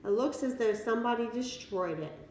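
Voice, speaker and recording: A woman voicing a gentle young schoolteacher who talks in an earnest, confiding way in a storybook reading. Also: a little high, expressive, fairly quick, close to an everyday voice. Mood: candid, resigned. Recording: roomy, distant mic, faint noise.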